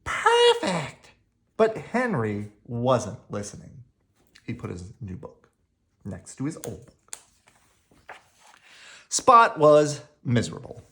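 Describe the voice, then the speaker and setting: Children's read-aloud narrator: mid-pitched, leisurely, highly varied delivery, playful and dramatic. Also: huge pitch swings, takes it slowly, long pauses, a bit bright. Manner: dramatic, with playful sound words. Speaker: children's book reader. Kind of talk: animated read-aloud storytelling.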